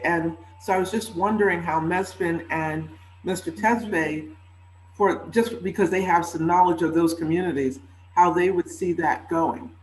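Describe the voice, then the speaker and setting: A man on a video-call panel speaking earnestly, with fillers and self-corrections. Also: a little high. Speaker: conference panelist. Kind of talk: casual panel discussion. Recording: echoey room with faint hum.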